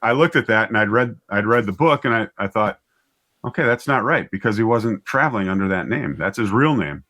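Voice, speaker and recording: A middle-aged man with a fairly low voice, speaking as an interview guest. He tells his story conversationally, doubling back on words and correcting himself as he goes. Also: expressive, pushed and bright. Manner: halting, with repeated words and self-corrections. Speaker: writer and director, interview guest.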